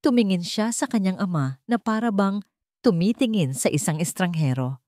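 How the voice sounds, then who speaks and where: A woman narrating a scripted dramatic story in a solemn, deliberate manner. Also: medium pitch, animated, warm tone, dry recording, studio quality.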